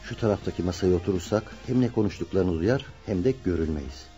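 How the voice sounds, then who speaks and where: A man's voice: sits low, lively intonation, quick pace, warm tone, muffled recording, soft background music. A man acting a loyal, earnest young friend who insists on the truth in a Turkish radio drama. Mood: conspiratorial.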